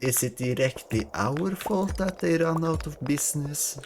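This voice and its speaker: A man's voice: a little high, a little slow, a little hiss or hum. A man streaming a video game, chatting casually with friends. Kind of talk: casual gaming chat with friends. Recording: loud game music under the voice. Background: loud video game music.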